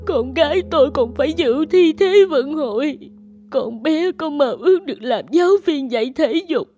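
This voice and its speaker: A high yet mellow woman's voice gives a loving, anxious mother in a cartoon, as an actress plays her. She speaks in a tender, pleading way, with faint music underneath. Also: expressive, moderate pace. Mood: distraught. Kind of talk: animated cartoon dubbing.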